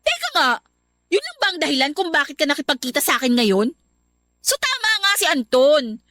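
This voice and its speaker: A woman's voice: sits high, sing-song, a little fast, bright, forceful tone, no echo, clean studio sound, exaggerated voice. A young woman voicing a shy, naive heroine in a radio love story, earnest and easily flustered. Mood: indignant. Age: young adult. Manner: earnest, flustered, then indignant. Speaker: heroine Abby. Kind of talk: radio drama acting.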